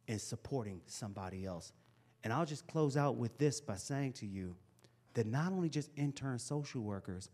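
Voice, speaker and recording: A man in his forties giving a casual, encouraging talk to young people in a school hall, speaking into a handheld microphone. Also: mid-range voice. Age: 40s. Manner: lively, casual and encouraging, chatty asides. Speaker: motivational speaker. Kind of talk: live talk to a youth audience.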